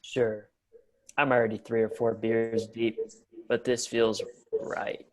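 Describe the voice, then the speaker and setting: A man's voice: medium pitch, little pitch movement, takes it slowly, lightly acted. A man reading a laid-back, slightly drunk teenage boy who talks to the camera. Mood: relaxed. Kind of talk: table read.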